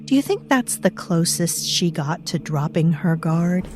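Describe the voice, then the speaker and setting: A low, mellow voice of an ominous unseen presence, voiced by a woman, narrating an audio drama. She muses darkly and addresses the listener directly, with faint music underneath. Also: animated, measured pace. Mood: brooding. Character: mysterious unseen presence dwelling in a girl's mind.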